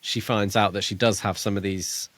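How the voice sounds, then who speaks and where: A man's voice: on the low side, fairly even intonation, normal speed, fairly bright tone, dry recording. A man co-hosting a podcast, casually discussing a book and thinking aloud in a tentative, hedging way. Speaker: podcast co-host.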